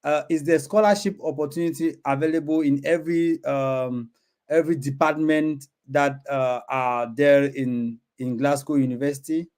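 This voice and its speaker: A man's voice: fairly high pitch, fairly even intonation, moderate pace, darkish tone. A man hosting his own channel, hesitant and filler-heavy as he introduces an online interview. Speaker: channel host.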